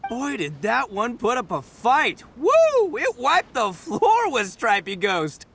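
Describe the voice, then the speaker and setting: An actor voicing a brash, boisterous, big-hearted male oni gang boss in a video game, talking loudly and boastfully and laughing often. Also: slow, vocal fry, breathy edge, exaggerated voice. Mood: excited. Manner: loud, boastful, laughs often, lively swings in pitch. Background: game music.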